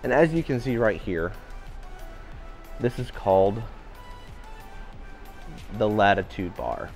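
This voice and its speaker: A man giving an upbeat walking tour of a cruise ship, his voice a little raspy today. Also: lowish voice, expressive, takes it slowly, darkish tone, faint music behind. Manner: upbeat, enthusiastic guiding. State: slightly raspy voice. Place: indoor public areas of a cruise ship.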